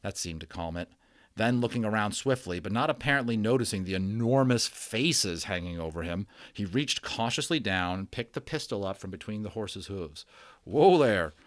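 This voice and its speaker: Low-voiced man reading a story aloud. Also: moderate pace, no echo. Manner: expressive, explains the story as he goes. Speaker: storybook reader. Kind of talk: dramatic read-aloud with conversational asides.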